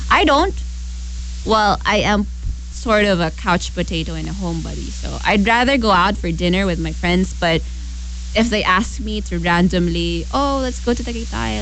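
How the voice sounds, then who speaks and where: A woman's voice: medium pitch, a little hiss or hum, rough recording. A young woman hosting a radio show, chatting casually and putting questions and advice straight to listeners. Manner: conversational, addresses listeners with questions. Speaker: radio host.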